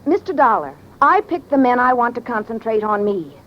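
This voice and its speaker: A woman's voice: on the high side, big pitch swings, fairly quick. A woman playing a haughty, short-tempered rich widow in old-time radio acting style. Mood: icy. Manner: imperious, cutting. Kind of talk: 1940s-50s radio acting. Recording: dull, noisy old radio recording.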